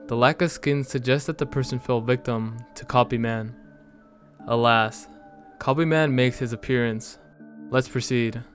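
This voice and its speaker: A man giving a measured, serious scripted narration in a storytelling manner, as the narrator of an analysis video. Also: medium pitch, steady tone. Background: music under the voice.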